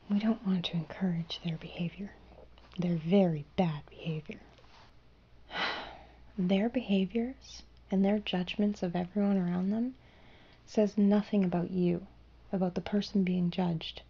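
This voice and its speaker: Woman doing a sweet breathy whispery fairy girl, hushing and comforting, kinda dull lo-fi sound. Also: medium pitch. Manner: soft soothing whisper, reassuring and hushing. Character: gentle comforting female fairy in human form. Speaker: ASMR roleplayer. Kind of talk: whispered ASMR roleplay.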